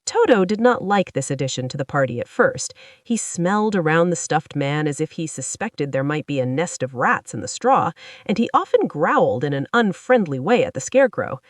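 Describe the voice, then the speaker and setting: A woman's voice: low-pitched, expressive, moderate pace, dry recording, clean studio sound. A woman reading a children's story aloud as a scripted narrator.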